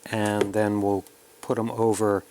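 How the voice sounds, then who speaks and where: Low-voiced man giving a hands-on tutorial. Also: level tone, a little slow, background noise. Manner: plain, explanatory, talking through each step while working. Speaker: host of an electronics kit build tutorial. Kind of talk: casual hands-on tutorial narration.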